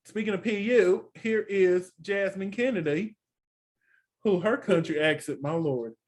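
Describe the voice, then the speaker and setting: Sassy Texan man, slightly high voice. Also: a little slow. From Texas. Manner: chatty, sassy asides like "honey". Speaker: podcast co-host. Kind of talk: casual TV recap chat.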